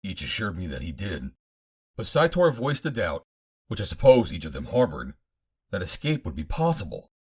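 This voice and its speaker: A man's voice: low-pitched, huge pitch swings, moderate pace, darkish tone, breathy edge. A man narrating a pulp adventure audiobook in the first person, in dramatic fashion.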